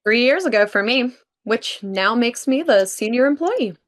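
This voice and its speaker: A dry senior office worker played by a woman at a table read. The voice is on the higher side, with a deadpan, matter-of-fact way of giving instructions. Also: moderate pace, natural voice. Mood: brisk, instructing. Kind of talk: live script table read acting.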